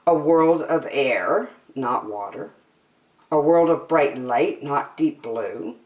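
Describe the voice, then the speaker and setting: A middle-aged woman reading a picture book aloud expressively, as a children's story time host. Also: sits low. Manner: animated, deliberate. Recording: slightly echoey room.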